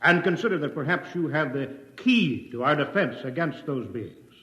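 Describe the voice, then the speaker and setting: An actor playing a pompous, hectoring American senator, oratorical and insistent, in a 1950s radio drama. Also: male voice, fairly high pitch, lively intonation, moderate pace, bright, forceful tone. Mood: grandstanding. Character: pompous, hectoring male US senator leading an inquiry. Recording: echoing hall, old radio broadcast.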